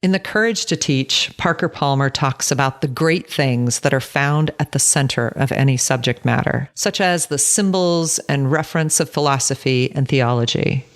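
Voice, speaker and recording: A woman reads scripted nonfiction aloud as a teacher and author, with measured, careful phrasing. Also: very deep voice. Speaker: teacher and co-author reading own book aloud. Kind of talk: scripted audiobook-style read-aloud.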